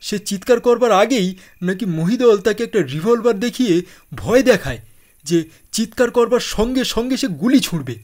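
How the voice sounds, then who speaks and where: A man playing an elderly, courteous and worried Burmese father in a radio drama, speaking in a deliberate theatrical way. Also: sits high, expressive, a little mellow. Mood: grave recounting. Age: older. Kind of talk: Bengali radio drama acting.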